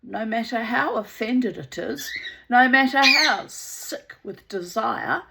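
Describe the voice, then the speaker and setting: A woman with a New Zealand accent reading the lines of an angry male robotics mathematician in a storybook, loud and demanding. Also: a little high, expressive, slow pace, creaky, very noisy, voice pushed up, exaggerated voice. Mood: indignant.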